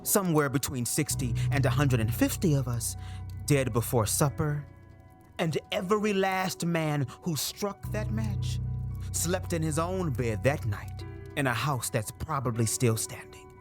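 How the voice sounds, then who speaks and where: A spoken-word performer delivering a dramatic monologue in an emphatic, deliberate way, with short punchy phrases. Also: mid-range voice. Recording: voice over a clear music bed. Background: music.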